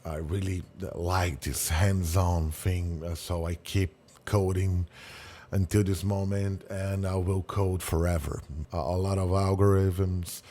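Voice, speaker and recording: Deep-voiced Brazilian man, chatty explainer. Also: unhurried, a little hiss or hum. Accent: Brazilian Portuguese. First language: Portuguese. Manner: chatty, animated, many fillers and restarts. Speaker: company founder. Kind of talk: casual explainer talk to camera.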